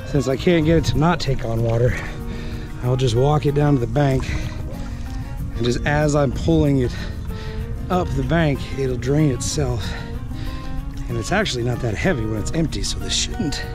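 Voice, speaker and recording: A man's voice: mid-range voice, normal speed, pauses often, music in the background. Joking man filming outdoors. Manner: upbeat, plain-spoken, joking about his plans. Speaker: outdoor explorer vlogger. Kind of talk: casual narration to camera. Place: outdoors by a creek under a bridge. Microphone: action camera held or mounted close.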